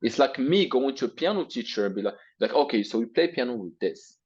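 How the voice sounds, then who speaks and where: Young Arabic-accented man, interview guest, blunt and profane, husky and slightly muffled. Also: mid-range voice, expressive, brisk, darkish tone. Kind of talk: interview, motivational rant.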